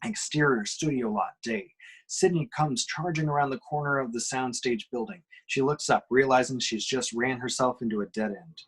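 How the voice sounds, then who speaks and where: A man's voice: mid-range voice, moderate pace, breathy edge, poor audio. A man reading screenplay action lines dramatically at a table read, punching out the sudden action words. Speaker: reader of the action description.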